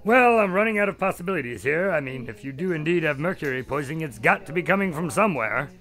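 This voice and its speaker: A man's voice: fairly high pitch, expressive, quick pace, fairly bright tone, soft background music. An actor playing a polite doctor who narrates and grows exasperated with his friend in a radio drama.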